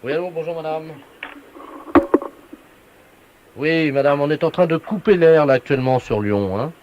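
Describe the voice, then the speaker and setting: A brisk utility official, played by a man in a telephone prank. The voice is on the high side and businesslike, handing out instructions with total earnestness. The sound is dull and lo-fi. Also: darkish tone, lightly acted. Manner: businesslike, instructing, repeated tag questions. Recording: dull, lo-fi radio prank recording.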